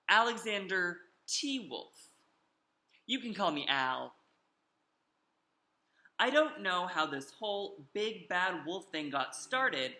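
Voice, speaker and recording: A man's voice: high-pitched, sharp tone, echoey room, exaggerated voice. A man voicing a sly, self-justifying wolf who tells his side of a children's storybook with emphatic, confiding delivery. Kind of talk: animated children's storybook read-aloud.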